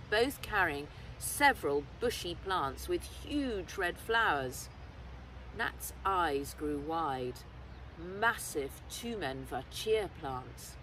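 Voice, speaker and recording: Middle-aged female storybook narrator, unhurried, recorded outside at a distance with clear ambient noise. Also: fairly low pitch, a bit bright. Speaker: author reading own novel aloud. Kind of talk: expressive scripted storybook narration. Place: outdoors in woodland. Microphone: camera a short distance away. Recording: outdoors, distant mic, clear background noise.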